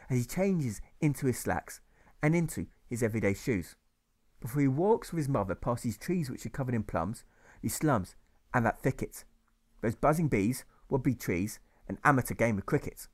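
A man reads his own rhyming novel as an audiobook. His delivery is rhythmic and leans on each rhyme, in an ordinary mid-pitched male voice.

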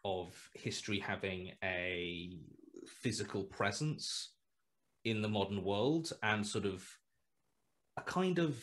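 A man's voice: fairly low pitch, takes it slowly, fairly bright tone. A man in his thirties talking on a video call through a headset, thinking aloud with many fillers, restarts and hesitations. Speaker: guest writer. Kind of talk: casual video call interview. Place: small room at home.

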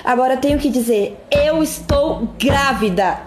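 A woman's voice: high voice, unhurried, noisy background. A woman playing an emphatic, wronged young wife in an amateur radio drama. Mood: outraged, defiant. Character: young wronged wife, insecure turned defiant.

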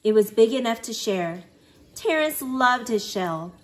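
A woman's voice: a little high, expressive, a little slow. A woman reading a children's picture book aloud expressively, acting out exclamations and sound effects. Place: living room at home.

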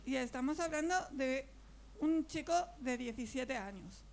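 High-voiced woman, slow and halting. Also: expressive, darkish tone, slight background noise. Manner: halting, pausing between phrases. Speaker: audience member, secondary school teacher. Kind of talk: hesitant spontaneous question from the audience. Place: audience in an event tent.

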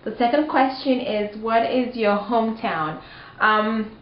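A woman's voice: a little high, unhurried. Young woman vlogging in a dull, roomy recording. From Cameroon, also lived in Kenya and Rwanda. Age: young adult. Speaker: video blogger. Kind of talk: casual chat to camera, answering tag questions. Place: small living room, indoors. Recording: dull, roomy home recording with faint noise.

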